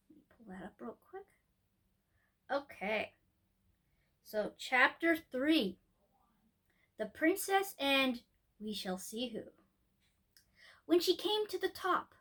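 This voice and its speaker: High-pitched woman reading a children's story. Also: a bit bright. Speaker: storyteller, host of a storytime show.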